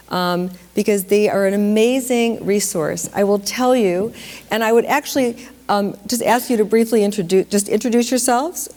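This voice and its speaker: A woman's voice: a little high, darkish tone. A woman from Detroit leading a question session with students, chatty, with frequent fillers and restarts. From Detroit, Michigan. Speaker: dance company director leading a school Q&A. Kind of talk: casual public Q&A moderation. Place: school auditorium with student audience. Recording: hall reverb, audience noise.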